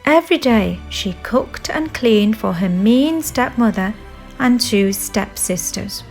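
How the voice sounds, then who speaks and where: Slow-paced woman reading a children's storybook. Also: fairly high pitch, expressive, a little mellow. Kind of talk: scripted children's storybook narration. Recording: music behind the voice. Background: music.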